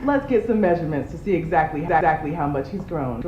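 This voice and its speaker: A woman's voice: deep voice, lively intonation, fast talker. A woman playing a friendly, chatty ultrasound technician in an analog horror drama. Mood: cheerful, reassuring. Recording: muffled, echoey room, faint background noise.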